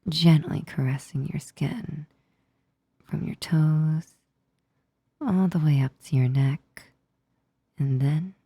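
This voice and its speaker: A female sleep paralysis demon, played by a woman for an ASMR roleplay. Her voice is low, husky and mellow, often sinking to a whisper very close to the mic. She speaks slowly, shifting between teasing menace and awkward tenderness. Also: dry recording, heavily acted. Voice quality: soft, breathy, often whispering. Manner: slow, intimate, close-up delivery. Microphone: close, dry microphone.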